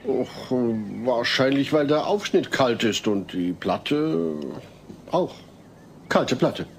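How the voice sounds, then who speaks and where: A man's voice: mid-range voice, expressive, takes it slowly, slight background noise. An actor playing an exasperated middle-aged family father, fretful and earnest, in a sitcom audio play. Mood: puzzled.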